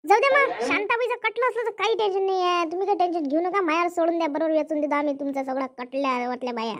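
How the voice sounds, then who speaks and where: A woman plays an older village woman who leads farm workers in a comic cartoon. Her voice is extremely high. She speaks Varhadi Marathi in a chatty, rustic, bossy way. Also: rapid-fire, a crowd in the background. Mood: chatty, reassuring.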